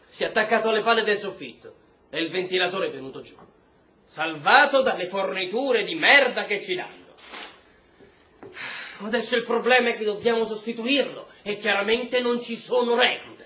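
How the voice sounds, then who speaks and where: An actor playing a volatile, domineering army officer and husband in a stage-style drama, coarse and contemptuous. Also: male voice, high voice, normal speed. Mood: weary, irritable. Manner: coarse, contemptuous, erupting into shouts. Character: volatile, bitter, domineering military husband, prison camp officer. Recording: roomy echo, faint noise.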